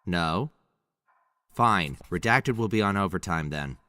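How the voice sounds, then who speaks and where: A man's voice: low voice, expressive, slow pace, pushed and bright, no room sound, exaggerated voice. A man acting in an audio drama as a stern, sarcastic commanding officer with a biting, commanding manner and cold anger. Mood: biting sarcasm.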